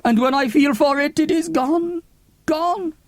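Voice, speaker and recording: A man's voice: very high-pitched, measured pace, no room sound, pitched above the performer's own voice. A man voicing an overwrought, theatrical woman in a dramatised children's audiobook. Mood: distraught. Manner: melodramatic, exclaiming, repeats words. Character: overwrought, theatrical woman, Cressida, in breathless distress.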